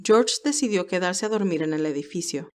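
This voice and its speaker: A woman's voice: on the low side, lively intonation, brisk, a little mellow. A woman reading scripted narration for a romantic audio story as its narrator.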